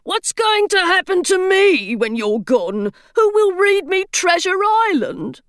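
A woman's voice: very high voice, very animated, a little slow, a bit bright, no room sound, clean studio sound, voice pushed up, heavily acted. A woman reading aloud, voicing a fretful, dramatic male stone gargoyle who sighs and complains. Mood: anxious. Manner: indignant and self-pitying, theatrical sighs.

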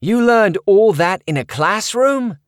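Male reader doing an upbeat young friend, easygoing, raised pitch, storybook reading. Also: animated, unhurried, no room sound, clean studio sound. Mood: excited. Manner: enthusiastic, breezy.

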